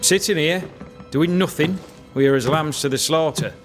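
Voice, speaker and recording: A man's voice: on the high side, moderate pace, lightly acted. An actor playing a blunt, dour colonial fighting man in a video game, with archaic speech. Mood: bitter, dismissive. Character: bitter, blunt colonial soldier, a man of blood and battle. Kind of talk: dramatic video game acting. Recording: music behind the voice.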